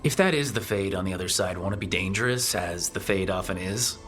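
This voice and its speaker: An actor playing an earnest, direct resistance hero in a fantasy video game. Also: male voice, low voice, a little fast, fairly bright tone, faint music behind. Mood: cautious.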